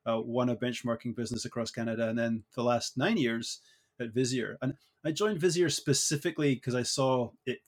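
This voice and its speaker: A middle-aged Scottish man speaks as an interview guest. His answers come out thoughtfully, with restarts and filler words as he works through his ideas. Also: mid-range voice. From Scotland.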